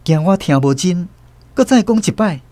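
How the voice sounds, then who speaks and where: A man with a Taiwanese accent giving expressive scripted narration of a literary story for radio drama. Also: high voice, moderate pace, no room sound.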